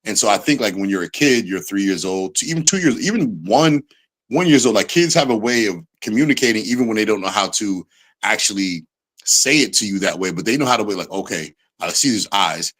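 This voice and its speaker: A man's voice: lowish voice, animated, a little fast, fairly bright tone. A man in his thirties in casual chat, explaining his view thoughtfully with many "like"s.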